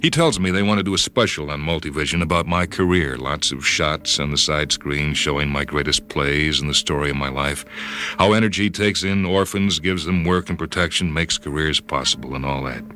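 Very deep-voiced man reading a dramatic story. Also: fairly quick, bright, forceful tone. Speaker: story reader. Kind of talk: dramatic first-person radio short story narration. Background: music and sound cues.

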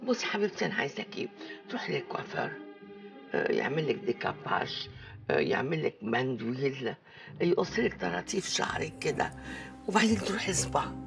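A bossy older Egyptian aunt in a TV comedy. Her voice is gravelly and swings widely in pitch as she coaxes and schemes in a theatrical drawl, with music underneath. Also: sing-song, brisk, full character voice. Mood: coaxing. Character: bossy, theatrical older aunt giving cunning marital advice. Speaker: actor in a TV comedy series. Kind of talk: comic TV drama dialogue. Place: living room set, indoors.

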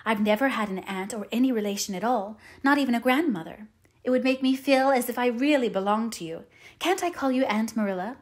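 A woman's voice: a little high, a little fast, close to an everyday voice. A woman voicing an eager, dreamy orphan girl who talks earnestly and effusively, as in an audiobook. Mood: wistful, pleading. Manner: effusive, earnest, dramatic phrasing. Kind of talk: audiobook character voice.

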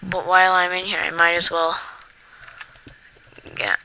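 Gravelly, bright-voiced chatty game commentator. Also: medium pitch, unhurried, slight background noise, low-quality recording. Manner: rambling, chatty, talks to viewers; excitable outbursts during play. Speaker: Let's Play gamer. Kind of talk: casual gameplay commentary.

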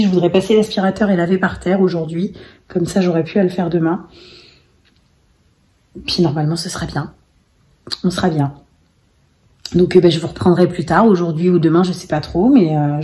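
A woman in her thirties chatting casually into her phone at home for a daily family vlog, rambling with many fillers, her voice a little hoarse. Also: fairly low pitch, normal speed, pauses often, warm tone. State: slightly hoarse. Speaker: vlogger, single mother of five. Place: home, living room and kitchen, indoors. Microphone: phone held at arm's length.

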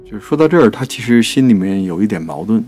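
A man's voice: fairly low pitch, very animated, fast talker, mellow, slightly rough, soft background music. A man co-hosting a literary talk, chatting casually, agreeing and prompting his partner. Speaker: podcast producer and co-host.

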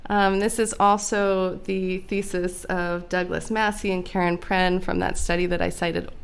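An American woman speaking as an academic guest in an interview, explaining in a measured, conversational way and often checking with "right?". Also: lowish voice, flat delivery, smooth. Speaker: academic guest, lecturer on immigration history. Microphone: podcast microphone on a stand.

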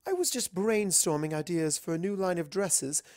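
A man's voice: sits high, lively intonation, moderate pace, a little mellow, dry recording, studio quality, voice pushed up. A man voicing a refined, fussy young female pony in an affected, coaxing manner for a story reading.

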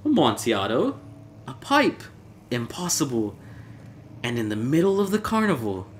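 A man voicing a drunk, excitable, proud nobleman in a theatrical story reading, exclaiming boisterously and insistently. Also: medium pitch, moderate pace, pitched above the performer's own voice. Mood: astonished, excited. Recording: clear background noise, ambience.

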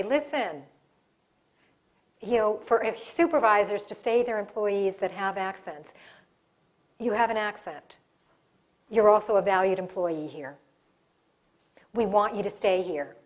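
A middle-aged woman giving a live workshop in a conference room, talking with her hands and often restarting her sentences. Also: mid-range voice. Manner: animated, gestures a lot, restarts sentences. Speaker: diversity workshop presenter. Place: conference room in front of a projection screen.

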